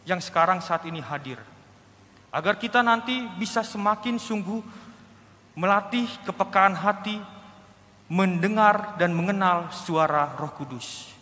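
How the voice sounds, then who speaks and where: A man's voice: high voice, sharp tone. A young man, a deacon, giving a Sunday homily from the pulpit in a conversational way, asking the congregation questions and dropping in casual asides. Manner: conversational preaching with rhetorical questions and slang asides. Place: large church, at the pulpit. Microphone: pulpit microphone. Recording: church hall reverb.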